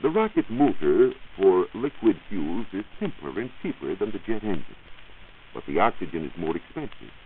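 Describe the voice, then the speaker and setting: An American man explaining science in a measured, formal way, in the style of a 1940s radio reporter. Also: sits low, big pitch swings, narrow, tinny audio. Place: radio studio. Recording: hissy, band-limited 1940s radio recording.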